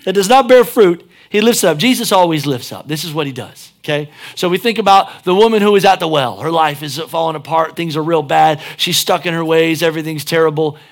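Joking, emphatic pastor with a drawl. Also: male voice, a little high, brisk, hardly any pauses. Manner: conversational and joking, rising to emphatic peaks. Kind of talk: sermon.

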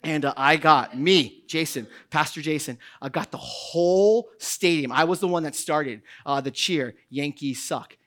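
A man's voice: high voice, fairly bright tone. A male pastor, preaching a sermon, rambling and joking with lots of fillers before building to emphatic points. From New England.

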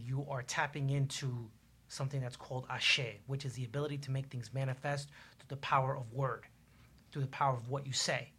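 A man speaks as a panelist in a discussion show. His voice is husky and sits at an average pitch. He explains in a measured, didactic manner, working through examples. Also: bright, forceful tone.

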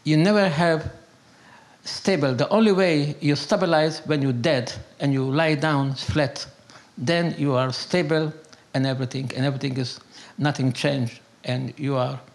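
An older Hungarian man speaks in a fairly high voice with a gravelly creak. His Hungarian accent is plain, and his English is halting and broken. He talks off the cuff like a storyteller and philosopher, repeating words for emphasis. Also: fairly even intonation. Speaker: interviewee telling his life story. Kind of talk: unscripted conversational storytelling and philosophizing.

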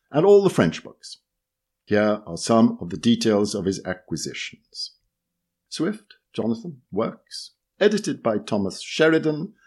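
A man reading an essay aloud, measured and deliberate as he works through lists of book lots. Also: lowish voice, a little mellow. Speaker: reader. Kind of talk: scripted narration of an essay.

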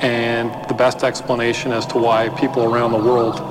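A man's voice: mid-range voice, level tone, moderate pace. A man in a discussion, explaining an argument in an articulate, academic way.

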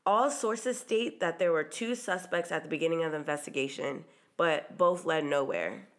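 A woman's voice: fairly low pitch, a bit bright. A woman hosting a true crime podcast, narrating in a casual, chatty way with occasional ums, her voice stuffy from a cold. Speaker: podcast host.

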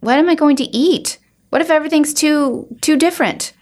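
A woman's voice: high voice, fairly quick, a little mellow, pitched above the performer's own voice, lightly acted. A woman voicing an anxious, pleading young girl in a children's storybook, worried and hesitant.

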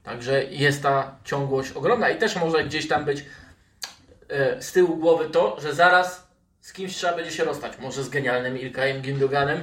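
A man's voice: fairly high pitch, normal speed, fairly bright tone. A man hosting a casual football discussion and steering the conversation. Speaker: podcast host moderating the discussion. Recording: roomy echo, distant from mic.

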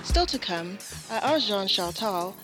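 A woman hosting an interview, asking measured questions and introducing what comes next. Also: mid-range voice, animated, over loud music. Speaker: radio interviewer. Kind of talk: interview questions and show links.

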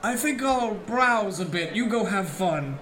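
Guy doing naive bumbling hero in a radio comedy, high voice, halting, roomy noisy recording. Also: expressive, fairly bright tone. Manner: halting, earnest, mock-formal. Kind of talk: comic radio play acting. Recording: echoey room with noisy background.

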